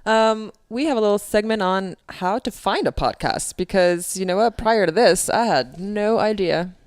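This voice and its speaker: A woman's voice: medium pitch, normal speed, dry recording, clean studio sound. A woman co-hosting a casual podcast, chipping in with short, teasing, offhand remarks. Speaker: podcast co-host. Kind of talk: casual unscripted podcast chat.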